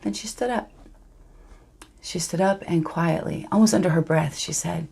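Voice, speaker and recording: A woman performing a monologue as a wistful girl remembering a friend, gentle and hushed, with halting, intimate storytelling and a creaky voice. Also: fairly low pitch. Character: wistful girl recalling a lonely childhood friend.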